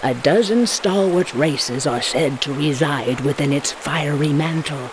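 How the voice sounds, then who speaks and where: A woman's voice: deep voice, measured pace, background noise. A woman playing a mysterious lore-keeper, narrating a fantasy video game with dramatic, portentous delivery. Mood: ominous, mysterious. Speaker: campaign narrator.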